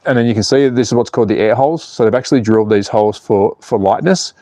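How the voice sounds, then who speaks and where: A cheeky Australian man in his forties talks viewers through a slot car build on a stream, swearing freely and joking with the chat. His voice is mellow and dark. The sound is close and dry. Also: mid-range voice, fast, dry recording. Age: 40s. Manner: cheeky, swearing, laughs at his own jokes, reads out chat. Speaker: slot car channel host. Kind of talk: casual livestream chat.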